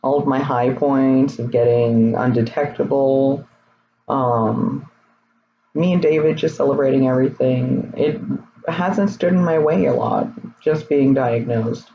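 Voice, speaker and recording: Slightly raspy young man, candid vlog. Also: medium pitch, measured pace. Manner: candid, rambling, hesitant with many fillers. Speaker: vlogger. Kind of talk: casual personal vlog. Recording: slightly dull, faint noise.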